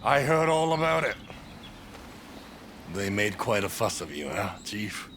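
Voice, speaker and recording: An actor playing a gruff, teasing, laid-back warrior comrade in a video game, with a gravelly voice and a familiar manner. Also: male voice, big pitch swings, measured pace, a bit bright, slight background noise. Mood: teasing, wry. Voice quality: low, gravelly. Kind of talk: video game cutscene acting.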